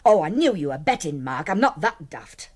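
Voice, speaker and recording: A woman's voice: low-pitched, very animated, moderate pace. An actress playing a middle-aged working-class widowed mother with a Northern English accent, blunt and reproving, in a naturalistic radio drama. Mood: reproachful. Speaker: actor.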